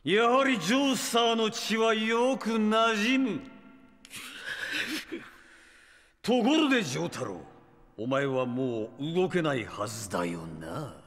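A man's voice: sits high, sing-song, unhurried, fairly bright tone, exaggerated voice. An actor playing an arrogant, megalomaniac immortal vampire villain in an anime audio drama, theatrical and sneering, drawing out his words. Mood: smug, menacing. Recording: long reverb.

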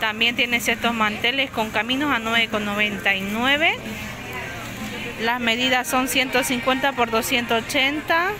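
A woman's voice: fairly high pitch, a little slow. Chatty woman touring store aisles. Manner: chatty, lists prices and sizes, self-corrects. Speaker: shopping vlogger. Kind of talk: casual store walkthrough narration. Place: inside a large discount supermarket. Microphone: handheld phone camera. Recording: music playing under the voice. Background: background music.

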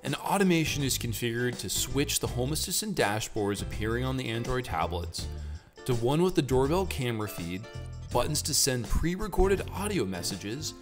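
A man, explaining technology as the host of a tutorial video. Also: mid-range voice. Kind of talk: explanatory tech tutorial, presenting to camera and in voice-over.

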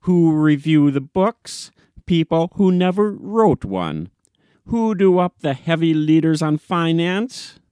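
A man's voice: on the high side, darkish tone, clean tone, no echo, clean studio sound. A man reading an audiobook, playing a brash, self-righteous newspaperman who rants in a pompous, declamatory way. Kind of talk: dramatic audiobook reading of classic comic short story.

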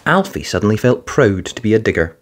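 Children's story narrator, expressive read-aloud, middle pitch, very lively swoops. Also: fairly quick, a little hiss or hum. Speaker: children's picture book reader.

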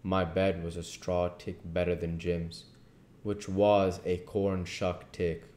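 A man in his thirties reading a classic novel aloud deliberately, with occasional stumbles. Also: low voice, flat delivery, warm tone, a little hiss or hum. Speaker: amateur audiobook reader. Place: living room at home, indoors. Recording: echoey home room recording.

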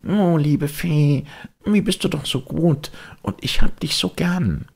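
A man voicing a naive, excitable little puppet boy in a storybook reading, childlike and eager. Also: a little high, slow, gravelly, breathy edge, pitched above the performer's own voice, exaggerated voice. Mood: eager, affectionate. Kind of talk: audiobook storytelling.

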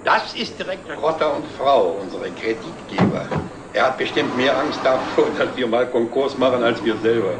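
Casting: man to play wry company boss, medium pitch, TV drama; roomy, noisy vintage sound. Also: lively intonation, normal speed, recorded from a distance, close to an everyday voice. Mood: wry, amused. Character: wryly mocking male company director, sardonic and self-ironic. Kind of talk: scripted television drama dialogue.

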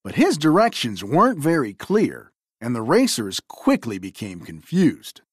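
Very animated woman reading a children's story. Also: very deep voice, measured pace, husky, no room sound, clean studio sound. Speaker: storybook reader. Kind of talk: expressive scripted children's story narration.

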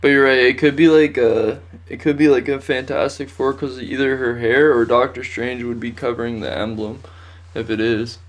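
A young man speaks as co-host of an informal chat show. His voice sits at a medium pitch. He is laid-back and a little tentative as he speculates and asks questions from a room at home. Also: level tone, normal speed. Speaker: co-host of a pop culture news show.

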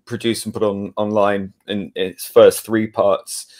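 A man answering in an interview as a guest audio producer, measured and hesitant, over an online call. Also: lowish voice, flat delivery, a little mellow.

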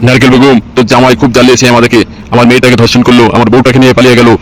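A man's voice: medium pitch, monotone, rapid-fire, lightly acted. A man voicing a vengeful, crude gang boss in comic cartoon dialogue, menacing and taunting, on a noisy track.

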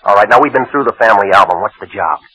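A wisecracking hard-boiled detective, dry and deadpan. His very low voice moves fast. It comes through a dull 1940s radio recording. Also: husky, no room sound, heavily acted. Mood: wry. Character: wisecracking hard-boiled private eye man.

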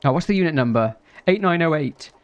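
A man's voice: fairly high pitch, lively intonation, brisk, clear, resonant tone, muffled recording. A British man in his thirties giving casual let's play commentary and reading out dispatcher lines. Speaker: gaming video host. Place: small indoor room.

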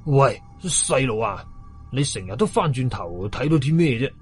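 A man's voice: mid-range voice, very animated, moderate pace, breathy edge, faint music behind, voice pushed down. A man voicing a timid, easily frightened village uncle in a horror story, agitated, with a Hong Kong Cantonese accent. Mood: wary. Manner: agitated, trembling, pleading.

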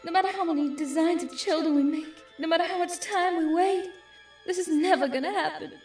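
An anxious young cartoon angel acted by a woman, high in pitch and apologetic. The delivery is hesitant and emotional, recorded roomy and away from the mic. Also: a little fast, a little mellow, far from the mic, soft background music. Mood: fearful, sorrowful. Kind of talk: comic dub acting.

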